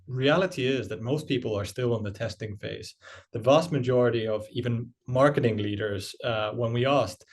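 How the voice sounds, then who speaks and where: A man's voice: medium pitch, darkish tone. Hesitant man, Finnish by birth. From Finland. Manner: hesitant, many fillers and restarts. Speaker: guest, marketing executive. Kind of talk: conversational interview answers.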